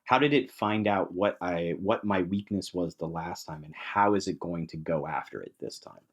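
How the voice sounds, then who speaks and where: A man's voice: sits low, moderate pace. A man talking casually and enthusiastically as a podcast guest, with emphatic phrasing, in a discussion of tabletop game monsters.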